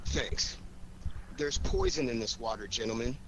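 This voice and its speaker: Guy doing a smooth-talking confident old radio detective, theatrical, really animated, noisy vintage recording. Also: medium pitch, lightly acted. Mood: deliberate. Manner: theatrical, deliberate, commanding. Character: cool, confident amateur detective setting a trap. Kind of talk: 1940s radio acting.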